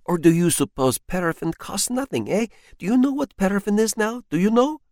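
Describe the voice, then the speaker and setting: A man voicing a shrill, stingy spinster housekeeper who scolds in rapid-fire bursts. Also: very animated, dry recording, clean studio sound. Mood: scolding. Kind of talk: audiobook character voice.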